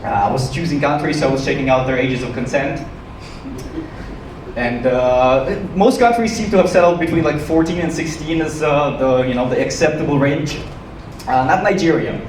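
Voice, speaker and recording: A man from Ukraine performing stand-up comedy to a live audience with a dry, joking delivery and hesitant pauses. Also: on the high side, darkish tone. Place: small comedy club stage, live audience. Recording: roomy, noisy club recording from a distance.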